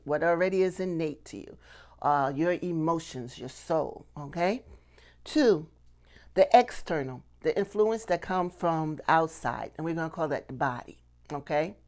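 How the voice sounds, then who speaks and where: A middle-aged woman giving a casual instructional talk to camera, conversational, often asking questions and checking in with the listener. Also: fairly low pitch. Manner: conversational, rhetorical questions and frequent "okay?" check-ins. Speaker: puppetry instructor and channel host.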